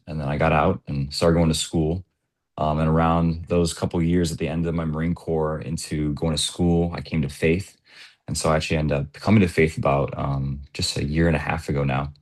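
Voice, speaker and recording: A man in his twenties from Chicago speaks with an extremely deep voice. He shares his personal story casually as a participant on a group video call. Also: steady tone, moderate pace. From Chicago, United States. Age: 20s. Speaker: program participant being interviewed.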